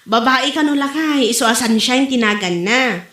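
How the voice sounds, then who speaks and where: A woman's voice: a little high, moderate pace, fairly bright tone, natural voice. A woman with an Ilocano Filipino accent acting an eager, chatty village wife in an amateur comedy audio drama. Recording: roomy, echoing amateur podcast recording.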